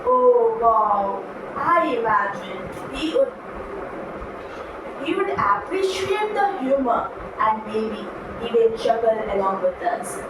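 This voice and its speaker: A woman acting as interviewer in a scripted puppet show, with a deliberate, recited delivery. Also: a little high, very animated. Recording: very echoey room, distant mic, noisy.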